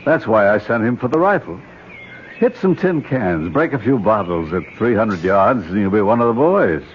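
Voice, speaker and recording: An actor in a radio drama playing a stern, condescending archaeologist uncle who speaks in a formal, lecturing way. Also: male voice, on the low side, sing-song, normal speed. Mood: exasperated lecturing. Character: stern, condescending older archaeologist uncle leading a jungle expedition. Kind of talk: 1970s American radio drama acting. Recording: muffled, noisy old radio broadcast.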